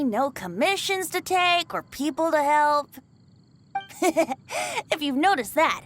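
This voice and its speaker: A woman's voice: sits high, sharp tone, a little hiss or hum, full character voice. A woman voicing a cheeky, boyish bard in a video game, theatrical, flowery and playfully smug. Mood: teasing.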